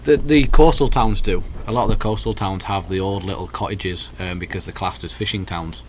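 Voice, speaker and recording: Young English guy, deep voice, easygoing talk-show guest, light room noise. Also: brisk. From England. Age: young adult. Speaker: guest student. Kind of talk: casual radio chat.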